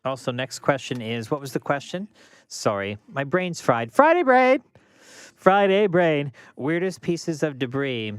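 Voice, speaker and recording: A man's voice: fairly high pitch, big pitch swings, unhurried, clear, resonant tone, dry recording. A young man, a radio traffic reporter chatting casually and rambling as he reads viewer questions between reports. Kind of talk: casual live-stream chat with on-air traffic reports.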